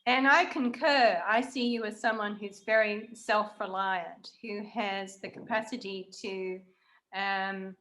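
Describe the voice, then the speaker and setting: A woman hosting a conversational interview, speaking in a measured, reflective way with pauses in the middle of phrases. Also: mid-range voice, fairly bright tone, poor audio. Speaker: interviewer, host.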